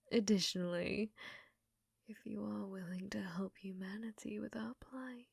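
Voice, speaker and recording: A woman voicing an unhinged, infatuated scientist in a hushed, breathy ASMR roleplay, clinical yet flirtatious and often whispering. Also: medium pitch, no room sound, studio quality, exaggerated voice. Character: unhinged, infatuated female scientist studying a captive alien.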